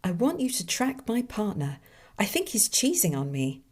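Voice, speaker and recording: A woman's voice: medium pitch, sing-song, moderate pace, a little mellow, voice pushed up. A woman voicing a glamorous femme fatale heiress in a coy, sultry manner.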